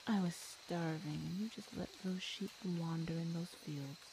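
A woman playing a hungry, teasing feline monster girl in an ASMR audio roleplay, speaking with slow menace, hums and sighs. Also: fairly low pitch, steady tone, background noise, lightly acted. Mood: menacing.

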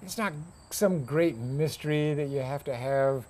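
A man's voice: medium pitch, measured pace, darkish tone. American man explaining, faint insects behind. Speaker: grape grower and winemaker being interviewed. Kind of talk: casual explanatory interview talk. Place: outdoor covered porch beside a pond. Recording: faint outdoor insect noise.

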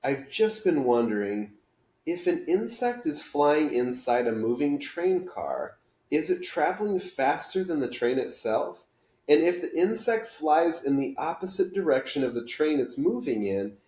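A man's voice: fairly high pitch, big pitch swings, measured pace, mellow, pitched above the performer's own voice. A man reading a children's book aloud as a bookish, literal-minded schoolboy with a precise, matter-of-fact manner. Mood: pondering. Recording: roomy living-room echo.

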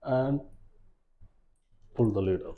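A man in his forties presenting an instructional fishing demonstration in a measured, step-by-step way, recorded indoors. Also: mid-range voice, narrow, tinny audio. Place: small plain room indoors, seated at a table.

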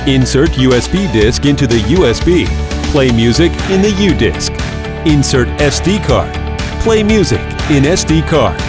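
Man narrating a product tutorial over music. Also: medium pitch. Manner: instructional, step-by-step. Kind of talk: scripted narration. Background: background music.

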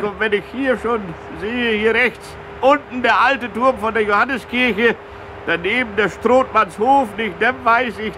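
An actor playing a petty, vengeful small-town fighter pilot in a radio comedy, rambling hesitantly and folksily with tag questions. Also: male voice, sits high, natural voice, poor audio. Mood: rambling, self-important. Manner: rambling, hesitant, folksy, tacking question tags onto sentences. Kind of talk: satirical radio comedy sketch. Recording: loud vehicle noise around the voice.

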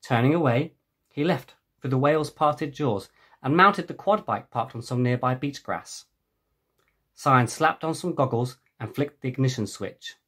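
A middle-aged man with a husky voice narrating a children's adventure novel as its author, recorded at a desk in a room at home. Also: mid-range voice, measured pace, fairly bright tone. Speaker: author reading own book. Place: small home room with bookshelves. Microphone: webcam at desk distance.

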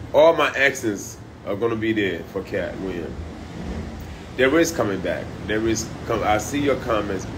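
A man livestreaming from a high-rise room by the beach on a phone at arm's length, talking loud, profane and animated. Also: noisy background. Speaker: comedian livestreaming. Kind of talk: casual live stream chat. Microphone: phone held at arm's length.

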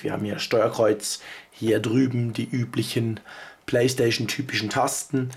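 A man, hoarse from a cold, casually reviewing a handheld gadget and sounding a little sarcastic about its maker. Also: medium pitch. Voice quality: gravelly. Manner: chatty, offhand, mildly sarcastic about the maker. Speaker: tech video host. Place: indoors at a table, off camera.

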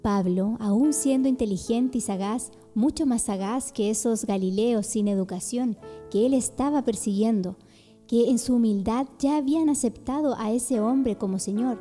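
Very mellow woman, sermon-like narration. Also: on the high side, normal speed, faint music behind.

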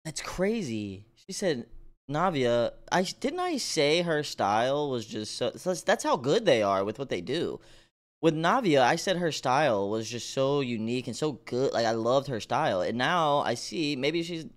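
A man hosting a reaction video, giving excited, casual commentary in slangy, exclamatory bursts. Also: medium pitch, moderate pace.

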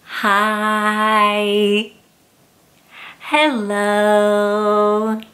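A woman's voice: mid-range voice, a bit bright. A woman in her thirties reads a picture book aloud to young children in an exaggerated, sing-song, encouraging way. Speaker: children's read-aloud host. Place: small plain room indoors.

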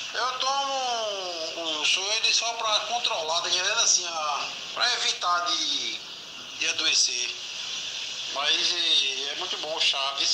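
Brazilian guy talking slow on a voice note, super noisy and echoey, played off a phone. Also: on the high side. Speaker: customer giving a testimonial. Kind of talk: casual voice message.

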